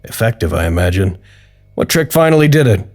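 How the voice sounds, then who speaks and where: A man's voice: on the low side, big pitch swings, fairly quick, a little mellow. A man acting as a dry, questioning, slightly put-upon research scientist in an audio drama. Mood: probing. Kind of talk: naturalistic audio drama dialogue.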